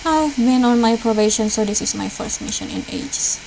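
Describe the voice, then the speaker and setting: A woman's voice: a little high, huge pitch swings, normal speed, darkish tone. Woman on a noisy recording. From Indonesia. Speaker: let's player reading visual novel dialogue aloud. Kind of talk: read-aloud game dialogue, acted lightly.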